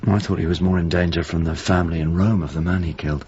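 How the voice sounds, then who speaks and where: A muffled, clearly noisy recording holds an actor as a subdued traveller in a radio drama. His low voice speaks in brief, searching phrases. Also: fairly even intonation, fast, a little mellow, natural voice. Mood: probing. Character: subdued, searching male traveller inquiring after a dead painter. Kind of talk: naturalistic radio drama acting.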